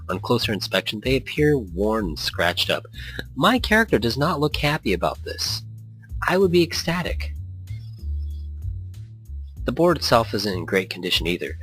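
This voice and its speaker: A man's voice: deep voice, a bit bright, rough recording. A man hosting a let's play, reading visual novel text aloud and drifting into rambling, casual commentary. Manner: rambling asides. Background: background music.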